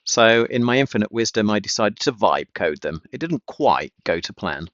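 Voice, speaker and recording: A man's voice: on the low side, sing-song, normal speed, a bit bright. A man narrating a casual explanatory vlog, recorded with a dull, roomy sound. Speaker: vlogger, amateur coder.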